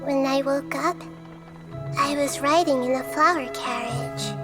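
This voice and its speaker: A woman playing a small, innocent girl deity, gentle and wistful, telling a storybook-like tale in a game teaser. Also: high-pitched, unhurried. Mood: gentle, dreamy. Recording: game teaser with background music.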